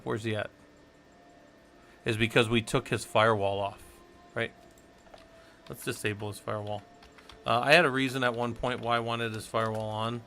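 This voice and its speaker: Bright-voiced man thinking aloud on a stream. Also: fairly low pitch, creaky. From Southwest United States. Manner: rambling, thinks aloud, chats with viewers. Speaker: tech streamer teaching Linux monitoring. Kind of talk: casual live-stream tutorial.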